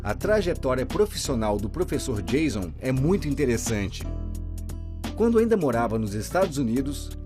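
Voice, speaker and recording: A man voicing an interview host's signed questions in a conversational, inquisitive way. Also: a little high. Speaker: voice interpreter for the host.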